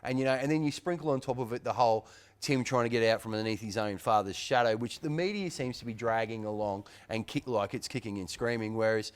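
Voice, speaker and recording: A man's voice: mid-range voice, fast talker, hardly any pauses, clear, resonant tone. A man hosting a boxing show, leading an upbeat casual rundown of fight week. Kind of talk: casual sports chat.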